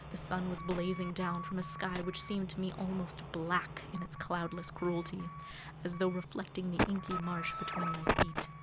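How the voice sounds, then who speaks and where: A woman's voice: mid-range voice, rough recording. A woman playing a lone seafarer haunted by dread, narrating in a formal, literary, solemn horror-drama manner. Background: loud ambient musical score.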